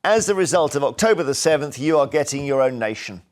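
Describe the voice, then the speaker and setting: A man hosting a TV debate, brisk and interjecting to steer the talk. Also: medium pitch, animated. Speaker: host. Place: TV studio.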